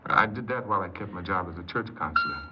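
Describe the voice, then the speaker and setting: An actor playing an earnest, insecure paroled ex-convict who tells his story haltingly in a 1950s radio drama. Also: low voice, lightly acted. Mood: earnest, wistful. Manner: hesitant, halting, repeats words. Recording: noisy muffled 1950s radio recording.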